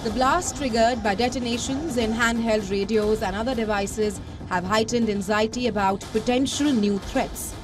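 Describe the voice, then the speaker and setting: A woman with a high voice narrates a scripted news report. Her delivery is formal and measured, and music plays clearly behind her. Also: fairly even intonation. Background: music bed.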